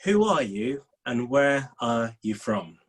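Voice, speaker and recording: A man's voice: mid-range voice, sing-song, takes it slowly. A man hosting a panel discussion, welcoming and enthusiastic as he poses questions. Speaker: panel host.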